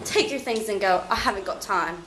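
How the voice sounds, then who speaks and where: A woman's voice: mid-range voice, brisk, a bit bright, slight background noise, lightly acted. A woman playing a haughty boss who coldly and mockingly dismisses an employee. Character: cold, haughty female boss firing an employee. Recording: roomy echo.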